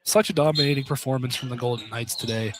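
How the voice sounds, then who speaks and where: A man's voice: medium pitch, quick pace. A man calling college basketball live on the radio, chatting conversationally and repeating phrases as he goes. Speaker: basketball play-by-play commentator. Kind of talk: live radio sports commentary with casual chat. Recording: noisy live sports broadcast with clicks.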